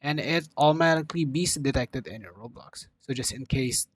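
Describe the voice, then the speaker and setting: A man presents a how-to tutorial in a medium-pitched voice. His delivery is informal and instructional, walking through software steps one at a time in a casual narrating style. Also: moderate pace, breathy edge.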